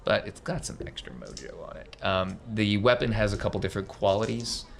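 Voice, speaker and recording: A man's voice: on the low side, lively intonation, a little fast, creaky, slight background noise. A man working as game master, improvising vivid, casual narration for a tabletop game. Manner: vivid, gory description in casual slang.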